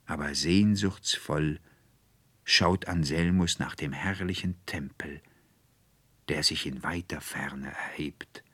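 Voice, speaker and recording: A man with a standard German accent reading literary fiction in a solemn, rapturous, drawn-out manner, phrases fading into breathy whispers. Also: extremely low pitch, fairly bright tone, no echo. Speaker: literary reader. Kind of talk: dramatic literary audiobook reading.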